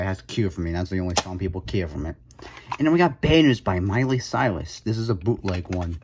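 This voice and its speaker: A man's voice: lowish voice, a little mellow, slight background noise. A man casually talking through his cassette collection in a video, offhand and sarcastic, with dry mumbled asides. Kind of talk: casual show-and-tell vlog.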